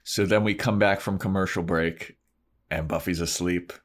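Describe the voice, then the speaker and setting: Male podcast co-host, fairly low register, informal and joking, conversational recap style. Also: fairly even intonation, moderate pace. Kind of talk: casual chat, retelling a TV episode with acted-out quotes.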